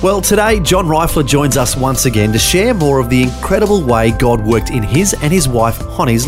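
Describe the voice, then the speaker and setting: A man hosting a radio program, reading a scripted introduction. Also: medium pitch. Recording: read over background music.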